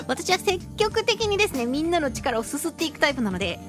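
A woman hosting a radio talk show, chatting casually in a playful, cutesy, effusive way. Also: very high voice. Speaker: radio show host, voice actress.

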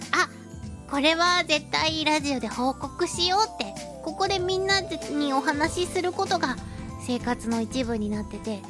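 A woman hosting a radio talk show, chatting casually in a playful, cutesy, effusive way. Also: sits high. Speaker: radio show host, voice actress.

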